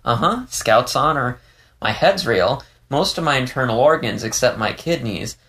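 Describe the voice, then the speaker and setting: A man's voice: medium pitch, lively intonation, normal speed, pushed and bright, pitched above the performer's own voice. A man voicing a playful, candid young male fox in an audiobook story, rambling with hesitant stammers. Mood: frank, playful.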